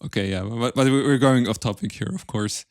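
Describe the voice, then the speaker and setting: A man's voice: mid-range voice, brisk, no echo, studio quality. A man hosting a casual podcast interview, asking questions politely and a little hesitantly.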